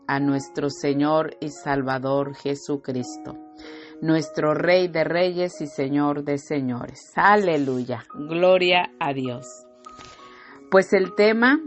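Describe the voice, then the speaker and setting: A woman with a low voice delivers a slow, fervent devotional sermon, sprinkling in hallelujahs and amens. Her throat sounds slightly irritated. Soft instrumental music plays faintly underneath. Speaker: preacher. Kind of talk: devotional sermon with scripture reading.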